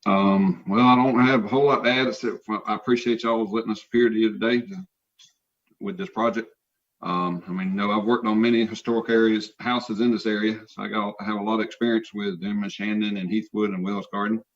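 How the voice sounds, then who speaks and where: A man's voice: lowish voice, level tone, brisk, highs cut off. A man, a builder addressing a hearing in a plain, polite way with a few fillers. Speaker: applicant, builder. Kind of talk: remarks at a public hearing.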